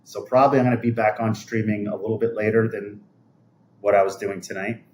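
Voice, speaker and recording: A man's voice: fairly low pitch, little pitch movement, brisk, a little mellow, poor audio. A man, chatting casually on a livestream in a rambling, conversational way that often trails off. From New York City, USA. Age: 50s. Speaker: livestream host. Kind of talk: casual livestream chat, answering viewer comments.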